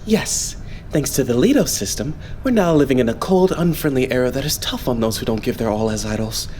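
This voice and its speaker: A man voicing a polite, composed young idol leader in an anime fandub, explaining things in a measured way. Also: medium pitch, lively intonation, a little mellow, a little hiss or hum, close to an everyday voice. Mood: calm explaining. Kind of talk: anime game fandub acting.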